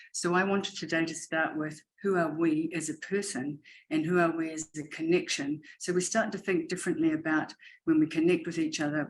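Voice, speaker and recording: New Zealand woman, 50s, native Māori speaker, earnest coach, level flat delivery; faint background noise. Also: low-pitched, poor audio. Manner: earnest and steady, with repeated rhetorical questions. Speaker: education coach and panelist. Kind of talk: warm motivational talk.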